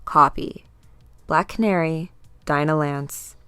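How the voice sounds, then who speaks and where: A woman narrating a comic book dramatically, in a measured, theatrical way. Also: fairly low pitch, expressive, no room sound. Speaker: host and reader.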